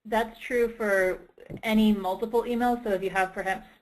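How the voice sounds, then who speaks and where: A woman's voice: medium pitch, fairly even intonation, normal speed, a little mellow, poor audio. A woman in a training discussion, chiming in conversationally to clarify points. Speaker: training participant adding clarifications.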